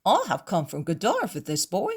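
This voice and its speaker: A woman's voice: on the low side, huge pitch swings, normal speed, darkish tone, breathy edge, exaggerated voice. A woman reading a story aloud, voicing an anxious father who has brought his crippled son.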